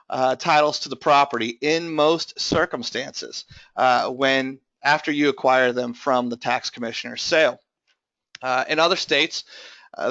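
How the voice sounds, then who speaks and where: Man, webinar presenter, strained bright voice, explanatory, filler-heavy, dry lo-fi sound. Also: mid-range voice, no echo. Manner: explanatory, many filler uh's. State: voice strained from bronchitis. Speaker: tax lien investment presenter.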